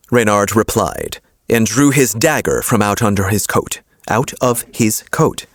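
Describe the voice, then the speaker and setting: A man's voice: fairly low pitch, very animated, slow, dry recording, studio quality. A man reading scripted audiobook narration and repeating lines in retakes.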